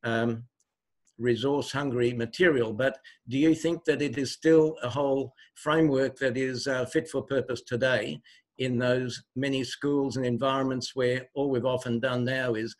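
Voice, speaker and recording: A man's voice: medium pitch, steady tone, normal speed. An older man from Switzerland asking a long, considered question in an erudite, formal and discursive way. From Basel, Switzerland. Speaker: audience member asking a question.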